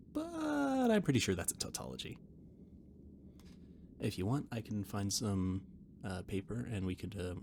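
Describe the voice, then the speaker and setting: A man playing a flustered, rambling catboy who cooks aboard a spaceship, hesitant and stammering, in an intimate roleplay audio drama. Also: lowish voice. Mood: earnest, nerdy. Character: flustered, rambling catboy ship's cook and mechanic, eager friend. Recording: noticeable background noise and ambience.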